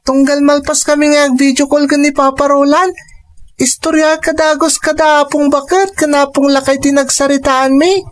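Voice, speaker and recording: A man's voice: very high voice, flat delivery, moderate pace. A performer voicing a young boy in a radio drama, eager and full of questions.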